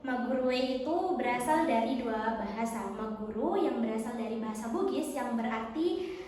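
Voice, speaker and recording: A high-voiced young woman explaining things as a guest on a school podcast, recorded in a long, ringing hall. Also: animated, moderate pace, a little mellow, slight background noise. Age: teens or young adult. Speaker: podcast guest, student book co-author. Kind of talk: explanatory interview answers. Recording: very echoey, reverberant hall.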